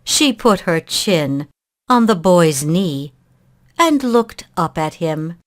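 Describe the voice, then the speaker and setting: A woman reading a children's story aloud in a measured, expressive narrating style. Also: fairly low pitch.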